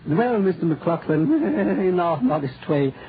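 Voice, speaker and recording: An actor playing a genteel, calculating schoolmaster villain, pompous and unctuous, in 1940s radio style. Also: male voice, fairly high pitch, animated, a little slow. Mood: genteel, probing. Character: smooth-talking, sinister schoolmaster doctor, genteel and calculating. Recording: tinny, noisy old radio recording.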